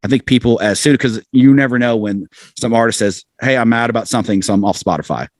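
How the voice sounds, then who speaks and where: A man's voice: medium pitch, fast talker, a little mellow, no room sound. A middle-aged American man talking casually as a musician being interviewed, rambling along in a self-deprecating way. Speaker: musician guest, band frontman.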